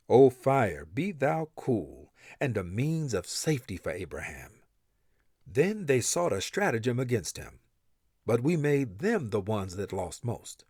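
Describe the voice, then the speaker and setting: A man's voice: medium pitch, darkish tone, slightly rough, no room sound, clean studio sound. A man reading translated scripture aloud with a solemn, emphatic and dramatic delivery. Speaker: reader of scripture. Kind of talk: expressive scripture recitation in English translation.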